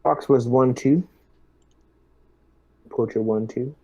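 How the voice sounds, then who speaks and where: A man playing a squad lieutenant in a tabletop role-playing session, speaking in a deliberate, halting, businesslike way. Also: medium pitch, a little mellow, dull audio. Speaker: player acting as squad lieutenant.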